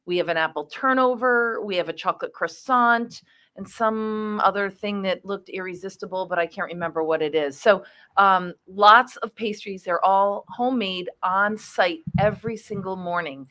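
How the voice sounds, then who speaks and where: A woman's voice: medium pitch, normal speed, bright, forceful tone. Chatty, enthusiastic woman hosting. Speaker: show host, interviewer. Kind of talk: casual chat.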